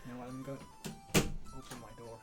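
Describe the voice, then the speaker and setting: Mid-pitched man interviewing casually, thinking aloud. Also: little pitch movement, quick pace, over loud music. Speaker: interviewer, fan project producer. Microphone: online voice call.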